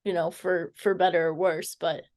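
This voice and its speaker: A woman interviewing a guest in a casual, conversational way, tentative and halting as she forms her thoughts. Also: lowish voice, flat delivery, a little mellow.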